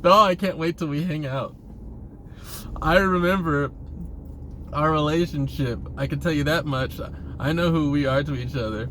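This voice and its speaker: A man's voice: fairly high pitch, normal speed, pauses often, a bit bright. A man giving a casual, rambling personal monologue in an informal, profane way, chuckling now and then. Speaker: vlogger talking about himself. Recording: clear vehicle-like ambient noise.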